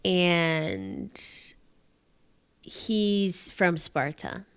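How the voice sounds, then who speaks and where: Slow-talking woman, lively pitch. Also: creaky, clear, resonant tone. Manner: slow, tentative, trails off into vague words. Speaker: podcast co-host. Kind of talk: casual chat.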